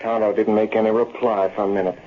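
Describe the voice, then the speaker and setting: An actor playing a folksy cowboy storyteller with a rural Western American accent, narrating a yarn in old-time radio style. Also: male voice, on the low side, fast talker, natural voice. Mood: confiding. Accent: rural American West. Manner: folksy, animated yarn-spinning. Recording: muffled, noisy vintage radio recording.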